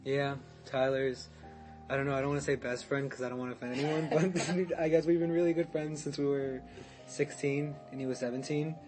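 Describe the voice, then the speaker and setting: A man's voice: medium pitch, steady tone, brisk, over music. A young man guesting on a podcast, telling a story in casual chat, rambling, restarting and swearing, with laughs mixed in.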